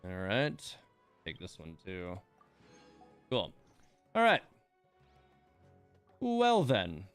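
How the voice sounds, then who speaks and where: Clear-voiced man chatting casually on a game stream. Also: low-pitched, wildly swooping pitch, very slow, faint music behind. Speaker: game livestreamer, host. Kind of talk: casual livestream chat while playing a game.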